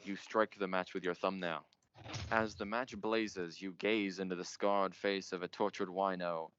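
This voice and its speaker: Man acting a deep, pompous radio mystery host. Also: flat delivery, unhurried, fairly bright tone, clear, resonant tone. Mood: brooding. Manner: theatrical, portentous, grandiose. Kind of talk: old-time radio mystery narration. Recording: muffled, noisy amateur stage recording.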